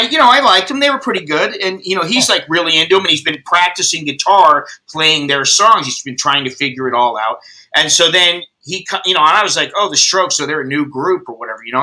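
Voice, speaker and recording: American guy late 50s, rambly jokey chat, swears a lot, really bright voice, kinda high. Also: rough recording. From United States. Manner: rambling, profane, joking. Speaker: host. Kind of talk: casual chat.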